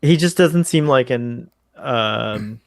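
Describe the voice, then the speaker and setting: A man hosting a casual watch-along chat, easygoing and quick to laugh, sometimes searching for words. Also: mid-range voice, expressive, creaky, no room sound, clean studio sound. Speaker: show host.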